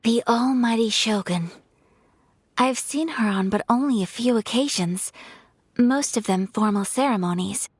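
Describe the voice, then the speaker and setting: A composed young noblewoman in a game, pitched a little high with a soft breathy edge. She speaks formally and courteously, every phrase measured. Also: dry recording. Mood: calm formal. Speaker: noble of a ceremonial commission. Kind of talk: video game voice acting.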